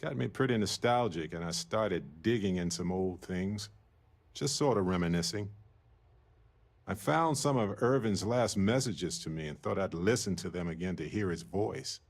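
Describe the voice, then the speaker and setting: A man playing a warm, wistful space explorer companion in a video game, earnest and reflective in a conversational way. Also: sits low, animated, normal speed, gravelly, exaggerated voice. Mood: nostalgic, reflective. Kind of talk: scripted video game dialogue.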